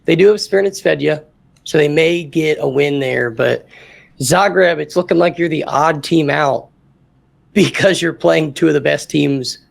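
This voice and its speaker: A man's voice: a little high, a little slow, vocal fry. A man co-hosting a casual soccer podcast, chatty and leaning on "I mean". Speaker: podcast co-host. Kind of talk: casual sports podcast chat.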